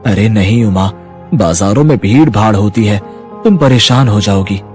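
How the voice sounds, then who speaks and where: A man's voice: on the low side, normal speed, darkish tone, faint music behind, lightly acted. A man voicing a worried poor toy seller. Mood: gentle. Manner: anxious, pleading. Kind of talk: cartoon voice acting.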